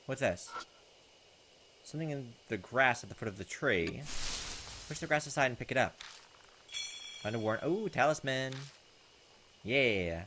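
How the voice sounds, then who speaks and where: A man's voice: mid-range voice, expressive, a little slow, pauses often, bright, forceful tone, slight background noise, low-quality recording. A man hosting a let's play, reading visual novel text aloud and chatting in a hesitant, thinking-aloud way. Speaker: gaming video host. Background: game music.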